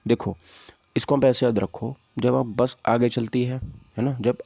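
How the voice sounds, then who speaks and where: A man's voice: mid-range voice, steady tone, measured pace, vocal fry, mellow, no echo. A man teaching an informal physics exam-prep class, conversationally repeating points and posing questions to students. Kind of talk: informal exam-prep lesson, walking through questions and answers.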